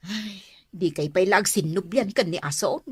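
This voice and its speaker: A woman's voice: medium pitch, unhurried, a little mellow, breathy edge, dry recording, studio quality. A woman playing an older, frank, advice-giving village aunt in an Ilocano radio drama. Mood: frank, gossipy.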